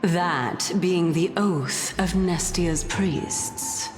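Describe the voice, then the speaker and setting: Slow, teasing, enigmatic woman sage. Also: lowish voice. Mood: coy, playful. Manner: coy, condescending, theatrical, unhurried. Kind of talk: video game voice acting. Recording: long hall-like echo, music underneath. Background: game music.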